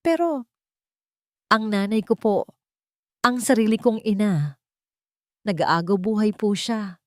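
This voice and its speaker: A woman's voice: medium pitch, takes it slowly, no room sound, studio quality, voice pushed up, close to an everyday voice. Woman acting a pleading, tearful scheming daughter-in-law. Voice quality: broken, sob-filled voice. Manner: pleading, melodramatic.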